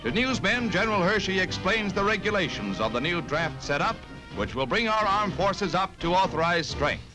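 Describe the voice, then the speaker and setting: A man's voice: high-pitched, sing-song, moderate pace, rough recording. An American man narrating a scripted 1940s newsreel in an urgent, emphatic, declamatory manner. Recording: 1940s newsreel soundtrack with music. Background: orchestral music.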